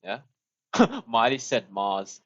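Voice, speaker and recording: Young male streamer, casual coding chat, mid-pitched, slow, lo-fi audio. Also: huge pitch swings, background noise. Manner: thinks aloud, restarts phrases, chuckles often.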